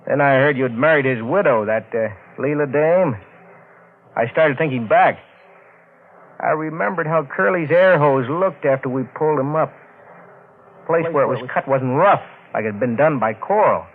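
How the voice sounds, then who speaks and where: A man's voice: fairly high pitch, moderate pace, lightly acted. A man playing a sly, down-on-his-luck stowaway sailor with slangy, wheedling talk in an old-time radio drama. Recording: narrow-band vintage radio recording, faint noise.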